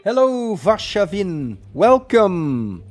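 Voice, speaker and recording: A middle-aged Dutch man talks to his stream in English with a Dutch accent. His voice sits a little high, and he rambles with lots of ums and uhs. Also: sing-song, slow, soft background music. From Netherlands. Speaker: gaming streamer. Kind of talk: casual live-stream chat.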